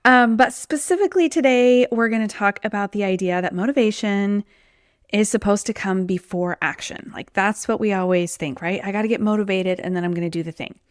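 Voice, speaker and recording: A woman's voice: medium pitch, a little fast, clean tone, no echo, clean studio sound. Woman coach with a slightly sick voice. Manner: chatty, conversational, says "like" and "um" often. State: voice a little off from being sick. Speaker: podcast host and weight loss coach. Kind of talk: solo podcast talk.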